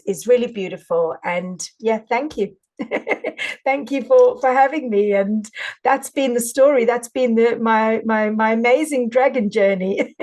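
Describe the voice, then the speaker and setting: A woman guest, an energy healer, talking in a gushing, repetitive way on a video call and then softly guiding a meditation. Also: medium pitch, rough recording. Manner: effusive, repetitive, soft-spoken when guiding. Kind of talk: casual chat then guided meditation.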